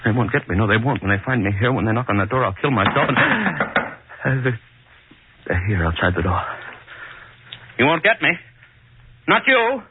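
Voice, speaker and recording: A man's voice: mid-range voice, big pitch swings, moderate pace, pauses often, lightly acted. An actor playing a callous, guilt-crazed hangman in a melodramatic 1940s radio horror style, repeating his phrases obsessively. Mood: haunted, obsessive, then hysterical defiance. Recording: noisy, tinny 1940s radio recording.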